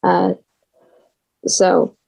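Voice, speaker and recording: A woman's voice: drawn-out words, warm tone, rough recording. A teenage girl, a young scriptwriter, giving casual answers in an interview. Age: teens.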